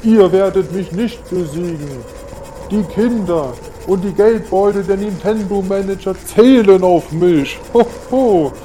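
A man's voice: high-pitched, unhurried, background noise. A man playing a jolly Santa Claus in a comic Christmas audio drama, with hearty ho-ho-hos scattered through the talk. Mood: defiant. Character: jolly male Santa Claus who chuckles ho-ho. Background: music.

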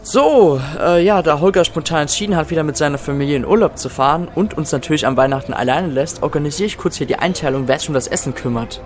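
A man's voice: a little high, fast, hardly any pauses, soft background music, lightly acted. A man playing a frazzled team leader giving out orders in an amateur comic audio drama. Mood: exasperated. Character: frazzled male team leader organizing a rescue. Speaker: team organizer.

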